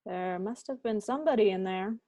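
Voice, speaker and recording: A woman's voice: medium pitch, fairly quick, warm tone, dry recording, natural voice. A woman playing a probing, sardonic police captain in an amateur radio-style mystery. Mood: wry, commanding. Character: brisk, wry police captain questioning suspects.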